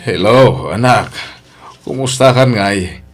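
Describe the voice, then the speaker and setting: A man's voice: on the low side, lively intonation, slow, over music. A man with an Ilocano accent voicing a boastful middle-aged father in an audio drama.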